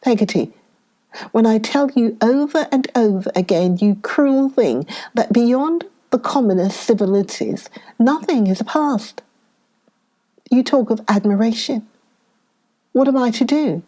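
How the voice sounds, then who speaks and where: A woman's voice: fairly high pitch, expressive, a little slow, warm tone, pitched above the performer's own voice. A woman voicing a young widowed mother, tearful and self-pitying, who pleads and reproaches. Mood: plaintive.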